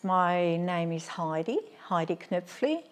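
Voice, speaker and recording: A woman's voice: fairly low pitch, expressive, slow pace, faint music behind. An older woman from Switzerland introducing herself hesitantly in a documentary interview.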